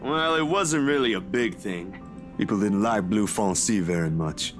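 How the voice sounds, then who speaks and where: A man's voice: on the low side, normal speed, faint music behind, close to an everyday voice. An actor playing an easygoing, modest young male photographer in a visual novel game.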